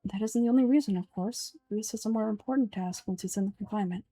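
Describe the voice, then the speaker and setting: A woman giving a measured, earnest dramatic reading of video game script as an amateur voice actor. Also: mid-range voice, warm tone, no room sound.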